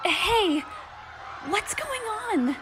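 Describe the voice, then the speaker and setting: A woman voicing a take-charge teenage superhero girl in an audio drama, commanding and energetic. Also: extremely high pitch, very slow, soft background music, full character voice. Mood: puzzled. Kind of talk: fan audio drama acting.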